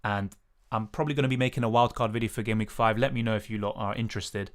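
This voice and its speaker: Young man, raspy, lower register, casual live-stream Q&A host. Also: steady tone, quick pace. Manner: casual, hedging, many fillers. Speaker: fantasy football streamer. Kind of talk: live stream Q&A, reading chat.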